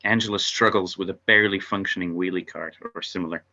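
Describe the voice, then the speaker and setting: A man's voice: deep voice, steady tone, fast talker, sharp tone, lo-fi sound. A man giving scripted narration, reading out stage directions at an informal table read.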